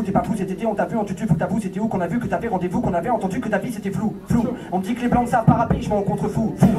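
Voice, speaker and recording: Fast, high-pitched man rapping slam. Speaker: slam poet. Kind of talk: rapped, wordplay-heavy slam. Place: live slam session venue. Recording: echoey, distant, music or beatboxing behind.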